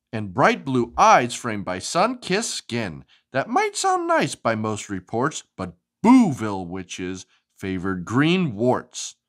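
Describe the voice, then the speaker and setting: Very animated, low-pitched woman reading storybooks. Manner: animated, sing-song, asks the child questions. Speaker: host reading storybooks to a child. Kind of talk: expressive children's storybook read-aloud with chat.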